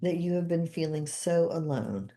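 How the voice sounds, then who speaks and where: An older woman in her seventies with a low, mellow voice. She talks in an easygoing, conversational way, laughing at herself and echoing phrases back steadily. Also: animated, normal speed. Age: 70s. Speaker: podcast host and therapist. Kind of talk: casual conversation, repeating tapping phrases.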